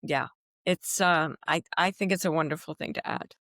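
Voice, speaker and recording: A woman's voice: deep voice, moderate pace, no echo, studio quality. A middle-aged woman from Belgium, chatting expressively as a podcast guest. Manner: expressive, chatty, restarts a lot. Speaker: wedding officiant guest. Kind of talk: casual interview chat.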